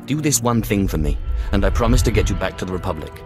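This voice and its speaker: An actor playing a cool, commanding, dryly humorous Sith sorcerer in a video game, measured, terse and self-assured. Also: male voice, fairly low pitch, animated, over music, lightly acted. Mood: calm, dry. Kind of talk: video game character dialogue.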